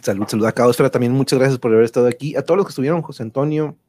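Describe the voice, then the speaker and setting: A man's voice: medium pitch, very fast, dark tone, no room sound. A man with a Mexican accent hosting a casual live-stream chat, informal and sociable, dropping in English words now and then. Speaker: host of an online live show.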